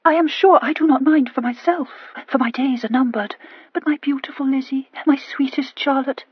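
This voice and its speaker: A woman's voice: high-pitched, normal speed, dry recording, lo-fi sound, pitched above the performer's own voice, lightly acted. A woman voicing a fretful, melodramatic mother who moans about her nerves. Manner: moaning, fading, melodramatic. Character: fretful, nervous older mother, faint and dramatic.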